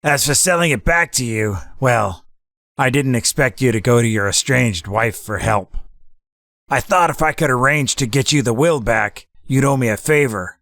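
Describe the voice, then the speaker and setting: A man acts out a scheming mob boss from a hardboiled radio play. His voice has a creaky, gravelly edge at a middling pitch. He talks in unruffled street slang, recorded close and dry. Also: moderate pace, a bit bright, no room sound, natural voice. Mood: calculating. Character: cool, scheming gangster taking over as boss. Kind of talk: hardboiled 1940s gangster audio drama acting.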